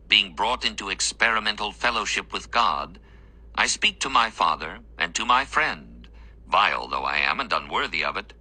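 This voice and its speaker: A man narrating a scripted devotional text in an earnest, measured and formal manner. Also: sits low, bright, forceful tone, low-quality recording.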